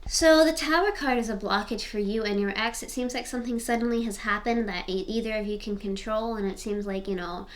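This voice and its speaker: A woman's voice: mid-range voice, brisk, hardly any pauses. A woman in her twenties doing a casual, rambling tarot reading to camera, often repeating her questions. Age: 20s. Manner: chatty, rambling, repeats questions while shuffling. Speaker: tarot reader. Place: home, seated in front of camera.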